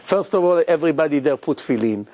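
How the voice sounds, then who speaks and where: A man, a rabbi, telling stories in a lively lecture to his congregation, acting out the people he quotes. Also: on the high side, fast talker. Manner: animated, acts out quoted voices, frequent restarts.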